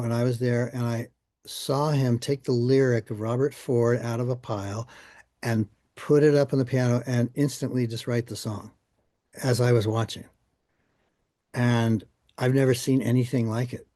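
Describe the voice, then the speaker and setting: An older man shares anecdotes in a relaxed interview setting. His voice is mid-pitched and mellow, recorded close and dry, and he talks in an easy, conversational storytelling way. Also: moderate pace, dry recording. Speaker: musician being interviewed.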